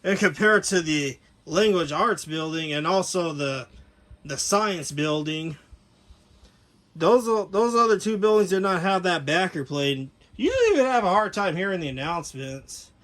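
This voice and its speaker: A man giving a casual, unscripted show-and-tell as a hobbyist presenter, talking in a rambling, halting, detail-focused way. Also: high voice, expressive, fairly bright tone. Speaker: hobbyist presenter showing his speaker collection.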